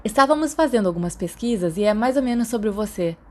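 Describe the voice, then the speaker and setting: A woman's voice: on the high side, animated, fast talker, pitched above the performer's own voice. A woman voicing an earnest, persuasive teenage schoolboy in an audiobook, explaining and reassuring. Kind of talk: audiobook character dialogue.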